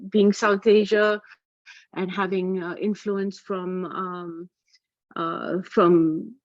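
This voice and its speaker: Brief: middle-aged woman, academic speaker on a video call, halting delivery with frequent fillers, dull dry audio. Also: medium pitch, darkish tone, dry recording. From Pakistan. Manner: hesitant, many fillers and restarts. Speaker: academic lecturer. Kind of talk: online academic talk. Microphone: computer mic on a video call.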